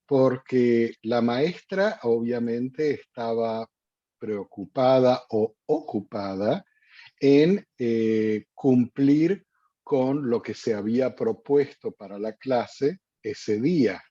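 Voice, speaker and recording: A man hosting a radio interview in a measured way with frequent fillers. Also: mid-range voice, dark tone, dry recording. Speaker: host. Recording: dull dry lo-fi sound.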